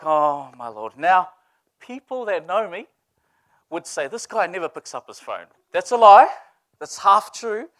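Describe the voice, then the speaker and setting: Joking, high-voiced Samoan preacher man. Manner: joking, animated, laughs with the room. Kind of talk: casual storytelling sermon.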